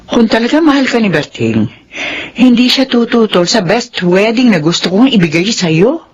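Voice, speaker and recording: A woman playing a forceful, well-off middle-aged mother, assertive and in charge, in a radio drama. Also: a little high, very animated, moderate pace, dull audio, slight background noise. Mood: commanding.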